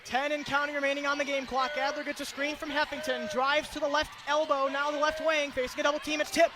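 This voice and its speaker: High-pitched man calling basketball play-by-play. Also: fast talker. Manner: energetic, clipped running description of the action. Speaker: play-by-play announcer. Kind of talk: live radio basketball play-by-play commentary. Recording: live gym broadcast, clear background noise. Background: gym ambience with bouncing basketballs.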